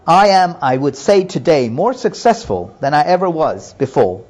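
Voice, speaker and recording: A middle-aged man calmly telling his personal faith testimony in an earnest, measured way. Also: on the high side. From Hong Kong and Japan. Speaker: businessman giving testimony.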